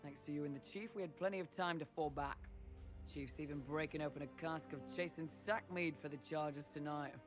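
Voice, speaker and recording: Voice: medium pitch, steady tone, a little fast, over music, lightly acted. A performer playing a cheerful, loyal young male mercenary lieutenant in a video game, with a respectful, easygoing manner. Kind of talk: video game character dialogue.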